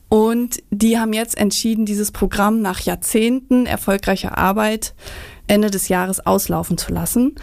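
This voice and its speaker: A woman working as an advisor for young migrants who want to study, giving clear, detailed answers in an interview. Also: medium pitch, darkish tone, no room sound, studio quality.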